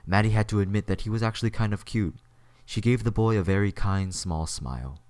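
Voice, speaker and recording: A man's voice: fairly low pitch, fairly quick, darkish tone, no room sound. A man reading scripted story narration as the narrator of an audio drama.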